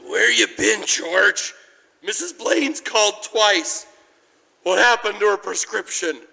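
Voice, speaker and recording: An actor playing a drunken, grieving old druggist in a harsh, anguished 1940s radio drama manner. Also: male voice, high-pitched, big pitch swings, slow pace, gravelly, sharp tone, exaggerated voice. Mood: drunken rage. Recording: reverberant church hall, live stage.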